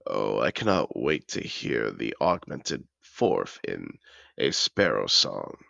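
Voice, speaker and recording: Fantasy audio roleplay: male actor as a wealthy, seductive dragon in human guise; extremely low, slow, cultured and effusive. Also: sing-song, creaky, sharp tone, no room sound, lo-fi sound, heavily acted. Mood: excited, urging. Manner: refined, effusive, theatrical phrasing. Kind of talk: scripted audio roleplay addressed to the listener.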